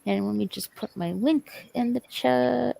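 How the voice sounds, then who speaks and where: A woman's voice: fairly high pitch, unhurried, creaky, mellow. A woman guest on a livestream, talking hesitantly with lots of fillers. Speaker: guest content creator. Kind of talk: casual livestream chat.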